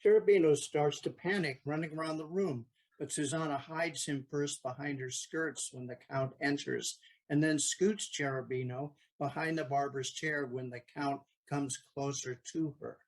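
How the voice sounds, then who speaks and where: Slightly high-voiced male narrator. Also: steady tone, moderate pace, low-quality recording. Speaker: stage-direction narrator. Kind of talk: scripted narration of stage directions.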